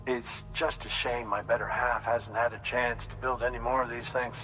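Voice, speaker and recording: A man playing a calm, precise doctor. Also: mid-range voice, close to an everyday voice, poor audio. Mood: reassuring. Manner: measured, explanatory, slightly fussy. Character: calm clinical male doctor, precise, reassuring. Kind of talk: video game cutscene acting. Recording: score music in the background.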